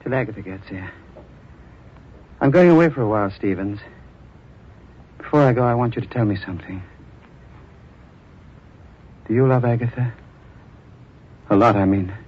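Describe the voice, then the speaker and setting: An actor playing an obsessed, jealous, murderous sculptor in vintage radio horror, intense, theatrical and menacing. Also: male voice, medium pitch, moderate pace, pauses often, slightly rough, natural voice. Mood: bitter menace. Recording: muffled old radio recording, music behind.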